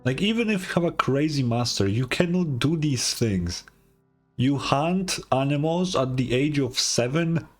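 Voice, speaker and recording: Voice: medium pitch, expressive, measured pace. A game streamer giving casual reaction commentary, incredulous and stammering, swearing freely. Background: game music.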